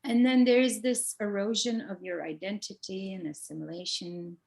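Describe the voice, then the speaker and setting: A woman's voice: medium pitch, steady tone, moderate pace, vocal fry, a little mellow. A middle-aged woman with a Turkish accent, asking questions conversationally and thinking aloud as she goes. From Turkey. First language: a minority language of Turkey. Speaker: interviewer. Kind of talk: conversational Q&A interview.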